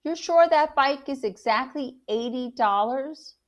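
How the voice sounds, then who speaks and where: A woman's voice: high voice, measured pace, pitched above the performer's own voice. A woman reader voicing a firm, protective, plain-spoken father with emphatic delivery. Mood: pointed.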